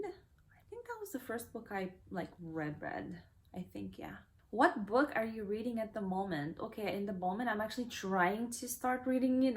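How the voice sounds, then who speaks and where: Young woman, native Kazakh and Russian speaker, casual vlog Q&A host, relaxed and rambling, mid-pitched. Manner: reads a question aloud, then answers in a relaxed, rambling way with fillers. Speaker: vlogger.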